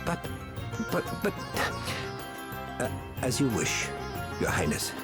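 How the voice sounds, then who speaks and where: An actor playing a stuffy, fretful royal official in a game, formal and officious. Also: male voice, medium pitch, huge pitch swings, slow, over loud music, heavily acted. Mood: flustered, pleading. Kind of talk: dramatic video game voice acting.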